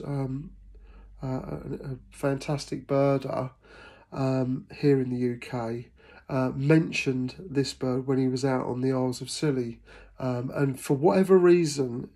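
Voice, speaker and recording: A middle-aged man from England talks through his birding trips in a relaxed voice-over. He sounds enthusiastic but stops often with ums and uhs, his pitch sitting in the middle. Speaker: birding vlogger. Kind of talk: casual voice-over narration.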